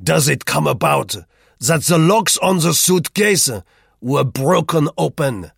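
A man voicing a hot-tempered, rude Frenchman in an audiobook, loud, sneering and aggressive. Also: a little high, expressive, slow pace, vocal fry, breathy edge, no room sound, clean studio sound, voice pushed up, full character voice. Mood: triumphant.

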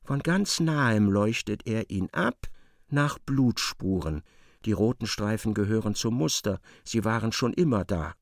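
An actor playing an intense storyteller, narrating a feverish tale for radio drama. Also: male voice, fairly low pitch, lively intonation, a little slow, gravelly, no room sound, clean studio sound. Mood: tense.

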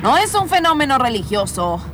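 Woman as a high-voiced, mock-serious documentary presenter. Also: big pitch swings, fairly quick, noisy background. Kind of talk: improvised comedic radio theatre.

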